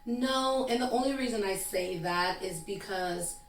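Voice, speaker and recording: A woman's voice: medium pitch, measured pace, a little hiss or hum. Chatty Haitian-born woman, distant roomy sound. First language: Haitian Creole. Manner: chatty, laughs often, animated when storytelling. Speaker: guest. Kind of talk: conversational interview.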